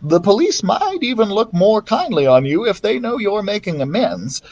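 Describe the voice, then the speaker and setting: A man voicing a kindly grown man who runs a museum, with a warm, drawn-out delivery, read into a built-in laptop mic. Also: sits high, sing-song, lo-fi sound, voice pushed up, exaggerated voice.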